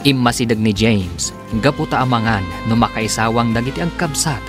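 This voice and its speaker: A man's voice: medium pitch, normal speed. A man delivering dramatic scripted narration as a radio drama announcer. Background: music.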